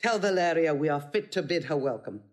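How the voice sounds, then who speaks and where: A woman's voice: deep voice, expressive, a little fast, background noise. An actress playing a fierce, proud older Roman mother in a Shakespearean audio drama, imperious and declamatory. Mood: fierce, scornful. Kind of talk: classical Shakespearean stage acting.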